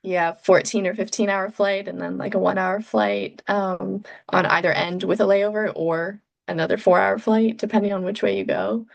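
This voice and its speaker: A young Canadian woman, a PhD researcher, giving thoughtful, measured answers in an interview with frequent ums. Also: mid-range voice, steady tone, creaky, lo-fi sound.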